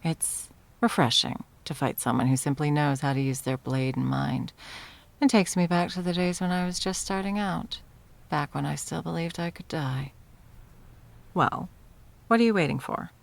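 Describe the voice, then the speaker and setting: Woman acting as undying sorceress villain, deep female voice, gravelly fry, mocking, haughty, playful, theatrical, fantasy audio roleplay. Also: moderate pace. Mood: amused, taunting. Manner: taunting, theatrical, condescending. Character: arrogant undying sorceress tyrant, mocking, easily bored, playful. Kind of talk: ASMR roleplay audio drama.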